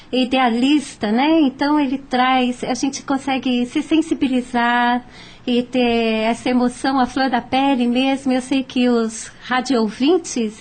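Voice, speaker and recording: A Brazilian woman commenting as a radio panel guest in an enthusiastic, emotive way. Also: on the high side.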